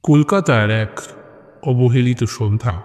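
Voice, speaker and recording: A man narrating and hosting scripted audio horror stories in a measured way, pausing between short phrases. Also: medium pitch, a little mellow. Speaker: host and narrator of an audio story channel. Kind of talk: scripted horror story narration and channel introduction. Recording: long reverb on the voice.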